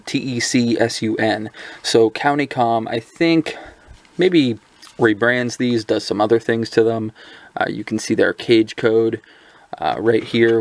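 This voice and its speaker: A man's voice: mid-range voice, dry recording. A man giving a casual hands-on review of a handheld radio, talking loosely with lots of ums and restarts. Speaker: product reviewer.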